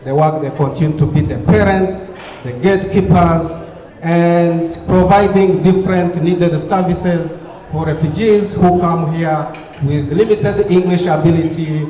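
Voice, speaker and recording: A man with a Ugandan accent delivers an earnest keynote, his voice slightly high and mellow. He stacks up repeated phrases as he speaks. The sound rings through a big hall with a long, echoing tail. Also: unhurried. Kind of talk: gala speech. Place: banquet hall. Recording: echoey hall with long reverb.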